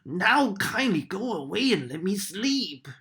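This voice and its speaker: Woman voicing an old storybook owl, grumpy, drowsy, grumbling, slow. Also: mid-range voice, very animated, a bit bright, pitched above the performer's own voice.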